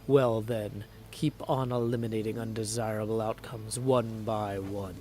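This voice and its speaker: A man's voice: lowish voice, steady tone, a little slow, very noisy, pitched below the performer's own voice, lightly acted. A man voicing a lofty, pompous ruler of the gods in a video game, with formal, grand phrasing. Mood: lofty, measured.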